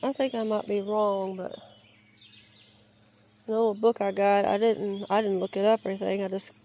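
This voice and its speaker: A woman talks her way slowly through her wooded yard, her voice raspy and crackly from a cold she is still getting over. Her delivery stays flat and mellow, full of restarts and searching for words, with birds calling in the open air behind her. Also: mid-range voice. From Georgia, US. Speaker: gardener giving a yard tour. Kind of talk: casual rambling walk-and-talk. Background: birds and outdoor ambience.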